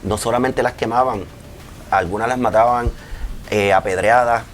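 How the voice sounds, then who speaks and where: Man with mid voice, relaxed co-host. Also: mid-range voice, normal speed, a little hiss or hum. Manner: relaxed, frequent agreeing interjections. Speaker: podcast co-host. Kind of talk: casual panel chat.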